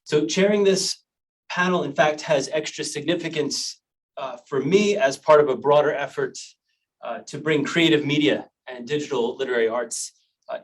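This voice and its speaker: A man of middle pitch chairs an online panel and introduces its speakers in a measured, formal way. His voice comes from some distance away from the microphone over a video call. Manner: measured, formal speech with Māori greetings.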